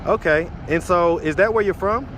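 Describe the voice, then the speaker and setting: Laid-back guy asking street questions, slightly high voice, faint traffic. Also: normal speed, a bit bright. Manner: casual, repeats okay, laughs often. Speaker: street interviewer. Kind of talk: casual street interview. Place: city street outdoors.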